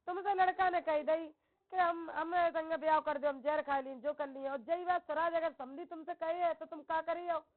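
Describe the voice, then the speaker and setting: High-pitched accusatory woman on tinny phone call. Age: middle-aged. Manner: accusatory, insistent. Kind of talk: heated family phone argument. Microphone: telephone.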